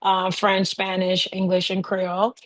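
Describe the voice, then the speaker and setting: A woman casually telling stories in conversation, animated and often giggling as she talks. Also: mid-range voice, unhurried. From raised by a Haitian family. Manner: animated, giggly, laughs often while talking. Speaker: interview guest, bike traveler.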